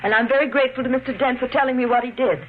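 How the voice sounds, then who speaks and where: An actress playing an anxious, devoted newlywed wife, polite but resolute, in 1950s radio mystery style. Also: female voice, high voice, fairly even intonation, racing, phone-quality sound, close to an everyday voice. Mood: strained composure. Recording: noisy, band-limited old radio recording.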